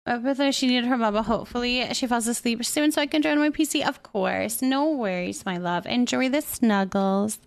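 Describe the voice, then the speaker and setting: A woman hosting a casual livestream, chatting with viewers in a gentle, affectionate way and often repeating her phrases softly. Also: fairly high pitch, moderate pace, gravelly, hardly any pauses. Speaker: livestream host. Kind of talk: casual livestream chat, thanking viewers and reading their messages aloud.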